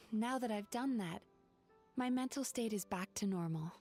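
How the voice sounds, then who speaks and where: A woman voicing a gentle young female spirit in a video game, a caring psychologist who speaks softly and reflectively. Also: medium pitch, measured pace, over music, natural voice. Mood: reassuring, reflective. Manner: soft-spoken, reflective, reassuring, sighing. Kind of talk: video game character acting.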